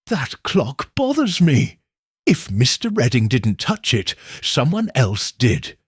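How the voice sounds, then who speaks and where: A man voicing a shrewd elderly village spinster, speaking in a gentle, probing, knowing way. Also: medium pitch, wildly swooping pitch, slow, husky, no echo, studio quality, exaggerated voice. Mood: shrewd musing.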